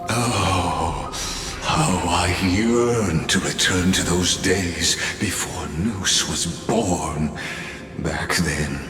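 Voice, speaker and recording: An actor playing a lofty, bitter scholar mastermind in a game cutscene, speaking in a slow, solemn, measured monologue. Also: male voice, low voice. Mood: impassioned. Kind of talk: video game cutscene voice acting. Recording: echoey hall reverb with music behind.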